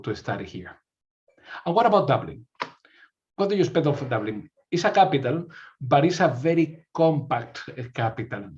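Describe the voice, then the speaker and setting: A man from Spain speaks English with a Spanish accent in a mid-pitched voice, giving an informational presentation. He often repeats words as he looks for the right phrasing. The audio sounds muffled and lo-fi. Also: a little mellow. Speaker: university recruitment representative.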